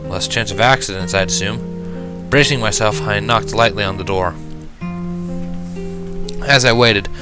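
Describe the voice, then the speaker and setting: A man reading a first-person story aloud in an expressive narrating style. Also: fairly low pitch. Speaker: fan fiction reader.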